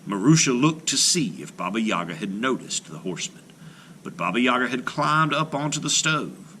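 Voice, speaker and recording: A man reading a children's folktale aloud as scripted narration, with dramatic delivery and hushed phrase endings. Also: mid-range voice, sing-song, normal speed, slight background noise. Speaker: storyteller, children's read-aloud host. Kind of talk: expressive scripted storybook narration.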